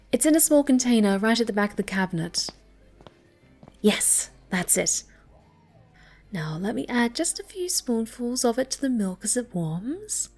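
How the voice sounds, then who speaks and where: A woman playing a snobby but soft, easily flustered cat girl in an intimate ASMR roleplay, speaking coyly and haltingly, often breathy. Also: fairly high pitch. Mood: bossy, composed. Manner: halting, stammering, coy, haughty.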